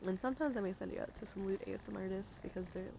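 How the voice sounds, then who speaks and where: A woman's voice: mid-range voice, very fast, gravelly, mellow, a little hiss or hum. A woman streamer talking casually to her viewers in an informal, slangy, chatty way. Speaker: livestream host, ASMR streamer. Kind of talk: casual livestream chat to viewers.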